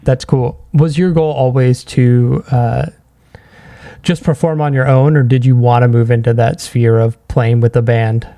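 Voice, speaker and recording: A man's voice: mid-range voice, fairly even intonation, unhurried, dark tone. A man hosting a casual interview, easygoing, giving short acknowledgements and then asking questions. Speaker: podcast host, interviewer.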